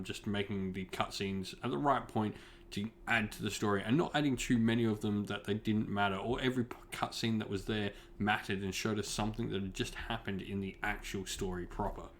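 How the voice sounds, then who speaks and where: A man's voice: fairly low pitch, fairly bright tone, slight background noise. A man hosting a hobby gaming channel, giving a spoken review in loosely phrased, explanatory talk.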